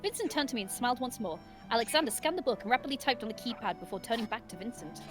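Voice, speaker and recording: A woman with a high voice reads a visual novel aloud on a stream. Her delivery is very animated and giggly, slipping between story narration and casual chat. Game music plays softly behind her. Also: rapid-fire. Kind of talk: expressive reading of narration with casual banter.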